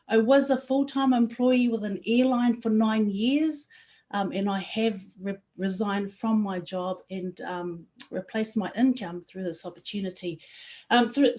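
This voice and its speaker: Earnest woman pitching with frequent ums. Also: warm tone. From New Zealand. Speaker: company presenter. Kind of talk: promotional presentation.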